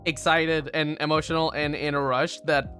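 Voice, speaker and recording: A young man from Argentina telling travel stories in casual chat, laughing often and jumping high in pitch when he quotes people. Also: normal speed, faint music behind. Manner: animated, laughs often, raises pitch sharply to act out quotes. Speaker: streamer.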